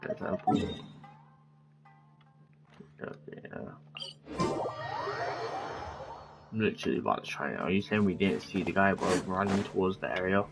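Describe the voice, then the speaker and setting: A man's voice: sits low, expressive, normal speed, gravelly, long pauses, loud music behind the voice. A man giving casual commentary while streaming a video game.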